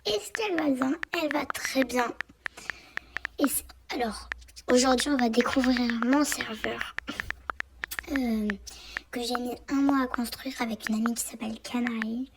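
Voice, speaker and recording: A young French woman, hoarse and often half-whispering, giving a rambling, hesitant tour of a block-building game server. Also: a little high, unhurried, pauses often, background noise. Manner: rambling, hesitant, many fillers and restarts. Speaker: game channel host. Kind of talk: casual game tour commentary.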